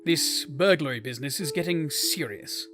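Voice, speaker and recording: An actor playing a grave, practical young English lord in an audio drama. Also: male voice, fairly high pitch, normal speed, music in the background, close to an everyday voice. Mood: grave, concerned.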